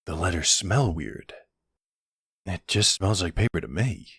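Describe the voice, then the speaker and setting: A man playing a supportive, puzzled boyfriend in an intimate audio roleplay, speaking in a hesitant, gently questioning way with a husky voice. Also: low voice, a little slow, dry recording, studio quality, full character voice. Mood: attentive, caring.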